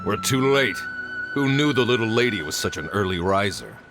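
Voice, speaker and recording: A man's voice: fairly low pitch, very animated, normal speed, over music. A man playing a cocky, mocking villain, the boss of a kidnapping mercenary gang in a video game. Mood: smug, menacing. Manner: mocking, smug. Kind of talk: English video game voice acting.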